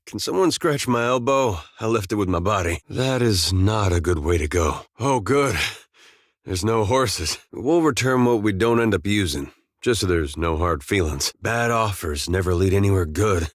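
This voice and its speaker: Game companion: easygoing farmer turned adventurer, fairly deep, deadpan folksy banter, dry studio sound. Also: male voice, dry recording. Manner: laid-back, deadpan, folksy drawl phrasing. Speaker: video game companion character.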